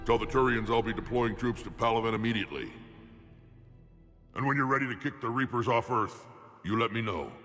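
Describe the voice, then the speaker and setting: An actor playing a gruff, battle-hardened alien warlord in a video game, blunt and proud. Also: male voice, a little fast, a bit bright, soft background music, natural voice. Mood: proud, hearty. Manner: blunt, booming, boastful. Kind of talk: video game cutscene acting.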